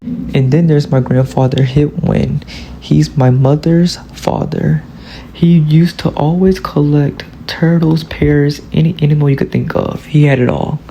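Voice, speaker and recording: Early-20s man, gravelly, steady scripted class-presentation read. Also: fairly high pitch, a little slow, highs cut off, slight background noise. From Louisiana, US. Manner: steady reading of a prepared script. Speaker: student presenter.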